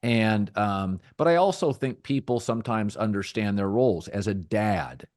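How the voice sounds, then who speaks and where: A middle-aged man hosting a sports talk podcast, with a deep, rich voice and a measured, thoughtful delivery that often restarts phrases. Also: animated, a little mellow, no echo, clean studio sound. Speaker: podcast host.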